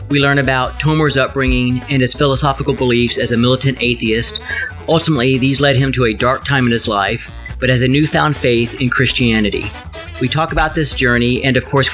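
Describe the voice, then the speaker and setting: A man's voice: medium pitch, a bit bright. A podcast host man with a mid-range voice reads his scripted introduction evenly. Faint music plays under him. When he turns to ask his guest a question he loosens up, with small fillers. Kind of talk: scripted intro and ad read, then casual interview.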